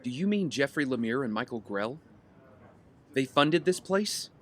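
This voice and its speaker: A man's voice: medium pitch, big pitch swings, unhurried, fairly bright tone. A man playing an earnest, determined wealthy young man in an audio drama, with a probing way of talking.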